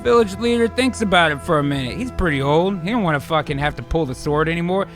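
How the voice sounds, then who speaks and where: A man hosting and narrating an improvised radio drama, mixing excited narration with casual, profane, exuberant chat. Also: a little high, fast, soft background music.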